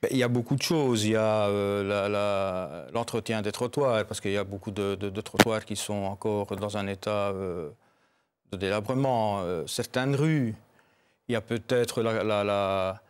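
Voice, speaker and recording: A man's voice: fairly low pitch, moderate pace, clean tone. A local election candidate arguing his points insistently in a televised debate, often stumbling over words.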